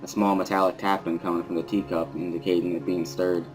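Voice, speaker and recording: A man with a low voice narrates a visual novel in the first person. He reads it as a dramatic story, with soft music playing underneath. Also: level tone, fast.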